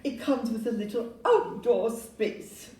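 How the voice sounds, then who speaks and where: A woman's voice: a little high, animated, takes it slowly, mellow. An actress playing a polite, upbeat Victorian London estate agent in a stage audio play, genteel and salesmanlike as she glosses over flaws. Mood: glossing over flaws. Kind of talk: audio-play acting before an audience. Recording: roomy, distant live pickup, faint noise.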